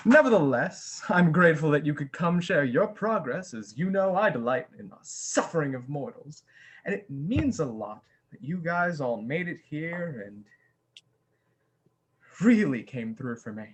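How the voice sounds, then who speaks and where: A man's voice: a little high, lively intonation, a little slow, low-quality recording. An actor playing a chatty, theatrical Satan hosting his demons in a comic play, sardonic and casually foul-mouthed. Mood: grandly welcoming. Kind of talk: comic stage monologue over video call.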